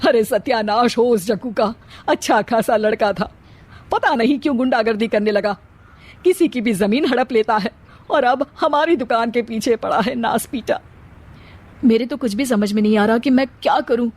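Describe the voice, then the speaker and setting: Older village mother-in-law performed by a woman, high-pitched, scolding, fretful, for a moral story. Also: normal speed, a little mellow, close to an everyday voice. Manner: grumbling, exclaiming.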